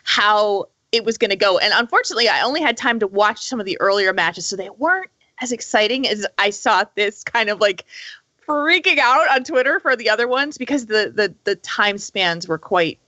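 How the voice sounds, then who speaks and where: Female gaming podcast panelist, bright voice, dry close sound, chatty casual storyteller. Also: medium pitch, animated, normal speed, dry recording.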